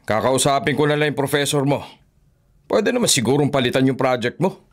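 A man's voice: mid-range voice, brisk, close to an everyday voice. A middle-aged Filipino actor playing a protective, stubborn father in a radio drama. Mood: stern, worried. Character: protective, stubborn father forbidding his grown daughter. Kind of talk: Tagalog radio drama acting. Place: small padded radio studio. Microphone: close studio condenser with pop filter.

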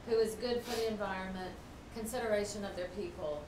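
Brief: soft-voiced woman attendee, distant in an echoey meeting room, clear background noise. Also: mid-range voice, quick pace. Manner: soft-spoken, hesitant. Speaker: attendee reporting for her table. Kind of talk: casual discussion. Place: large meeting room with round tables. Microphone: room camera mic. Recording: roomy, from far off, noisy.

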